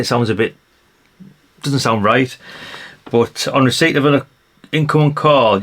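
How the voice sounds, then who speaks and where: A man's voice: mid-range voice, a bit bright. A man giving a casual hands-on demonstration of gadgets in an offhand, matter-of-fact way, often tagging his remarks with questions. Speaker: vlogger reviewing vintage radios.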